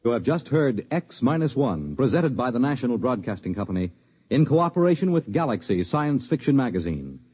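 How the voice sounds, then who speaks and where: A man's voice: medium pitch, very animated, brisk, mellow, husky. Formal 1950s radio announcer, man. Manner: formal, declaiming announcer delivery. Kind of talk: formal broadcast sign-off. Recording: dull lo-fi 1950s radio recording.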